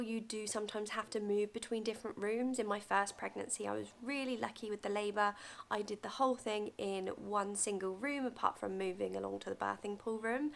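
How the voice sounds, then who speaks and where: Young woman vlogger with a touch of fry, casual and chatty, filmed at home. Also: medium pitch, a bit bright. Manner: chatty, friendly, direct to camera. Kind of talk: casual advice vlog. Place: bedroom at home, indoors.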